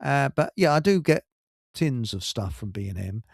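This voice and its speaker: A man's voice: mid-range voice, sing-song, moderate pace, creaky, husky, no echo, clean studio sound. A man with an English accent hosting a radio show in casual, rambling chat, telling stories with colloquial asides and digressions.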